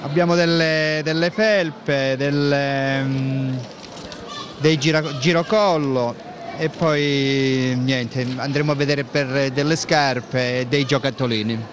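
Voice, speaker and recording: A man's voice: medium pitch, fairly even intonation, slow pace. A middle-aged man answering an interviewer casually and hesitantly into a handheld microphone in a shopping mall. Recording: noisy shopping mall ambience.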